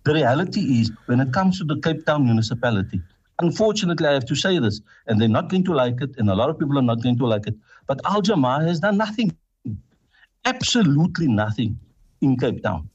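A South African city councillor in a radio interview, making an impassioned, emphatic appeal for support. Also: male voice, mid-range voice, sing-song, brisk, darkish tone, husky, muffled recording. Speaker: city councillor and party leader.

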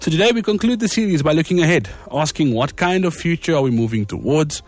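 A man's voice: on the high side, expressive, fast talker, faint music behind. A man hosting an evening radio talk show from the studio, upbeat and conversational. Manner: upbeat, conversational, occasional laughs. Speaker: radio host.

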